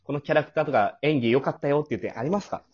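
A man's voice: a little high, very fast, darkish tone. A man hosting a casual chat show and interviewing his guests, recorded in dull, muffled lo-fi audio. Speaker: host and scriptwriter.

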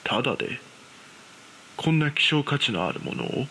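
A man playing an ordinary, uneasy young man who recounts his frightening experience in a dramatised, measured, suspenseful horror narration. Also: mid-range voice, expressive, pushed and bright, slight background noise, poor audio. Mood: surprised, doubtful. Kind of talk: dramatised ghost story narration.

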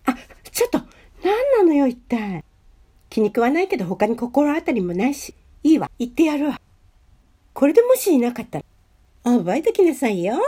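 Radio drama schoolgirl played by a woman: high-pitched, proud and easily flustered, swinging melodramatically. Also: big pitch swings, moderate pace, pauses often, warm tone, slightly rough, heavily acted. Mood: annoyed. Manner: dramatic, tsundere-like, talks to herself. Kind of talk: amateur radio drama acting.

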